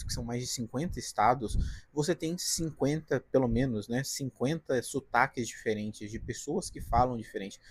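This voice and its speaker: Husky-voiced Brazilian man with a Paulista accent. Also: medium pitch, dry recording. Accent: Paulista, from the interior of São Paulo. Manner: conversational, direct, frequent "né?" and "tá?" tags. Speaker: career vlogger, designer. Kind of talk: casual advice vlog to camera. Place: apartment balcony.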